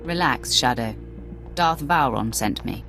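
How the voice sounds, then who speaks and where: Low-voiced, commanding Sith warrior. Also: female voice, animated, a little slow, music in the background, exaggerated voice. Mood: brisk, confident. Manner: curt, assured, imperious. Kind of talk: video game cutscene acting.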